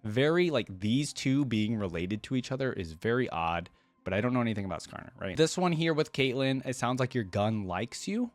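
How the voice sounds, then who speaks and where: A man's voice: mid-range voice, lively intonation, moderate pace, dry recording. A man hosting a reaction video with casual, rambling, enthusiastic commentary.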